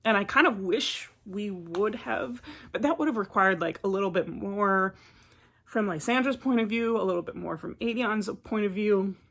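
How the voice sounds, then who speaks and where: A woman's voice: mid-range voice, moderate pace, a bit bright. Chatty woman vlogger getting over a cold. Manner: chatty, rambling, many filler "like"s. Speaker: book vlogger. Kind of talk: casual book review vlog.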